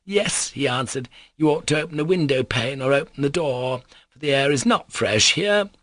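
A male narrator plays a half-mad mother from a storybook, querulous and rambling. The voice is lifted high, plaintive and aggrieved, full of self-pity, in a dry close recording. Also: animated, normal speed, fairly bright tone, dry recording, close to an everyday voice.

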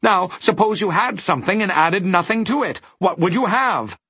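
High, cheerful many-faced shape creature. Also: male voice, lively intonation, moderate pace, bright, forceful tone, slightly rough, no echo, exaggerated voice. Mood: eager, instructive.

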